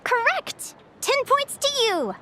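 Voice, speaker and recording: A woman's voice: extremely high pitch, big pitch swings, slow pace, bright, forceful tone, heavily acted. A woman voicing a cheerful, encouraging young female adventuring advisor in an anime game, bubbly and quizzing like a teacher. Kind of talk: anime video game acting.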